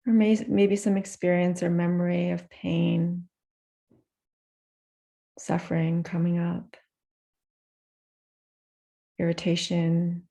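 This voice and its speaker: A woman guides a meditation in a lowish, gravelly voice with a lot of creak. She takes her time, speaking very slowly in short, gentle phrases and leaving soft pauses between them.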